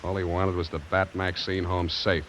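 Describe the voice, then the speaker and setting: An American actor playing a gruff, wry private detective in a 1940s radio drama, with clipped sardonic delivery. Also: male voice, sits low, completely flat delivery, normal speed, fairly bright tone. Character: wisecracking hard-boiled private detective, gruff but fond of secretary. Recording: hissy vintage 1940s radio recording.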